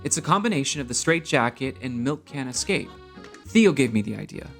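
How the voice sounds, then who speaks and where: An actor playing an anguished, driven escape artist in a stage musical, in speech and song. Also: male voice, medium pitch, sing-song, brisk, creaky. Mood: driven, excited. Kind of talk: musical theatre singing and dramatic acting. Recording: music under the voice.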